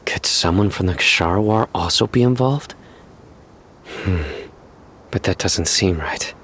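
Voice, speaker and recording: An actor voicing a stern, stoic young male guardian in a video game, speaking in a measured, serious, commanding way. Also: deep voice, a bit bright, slight background noise. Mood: grave, analytical. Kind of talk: English video game voice acting. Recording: game dialogue over background music.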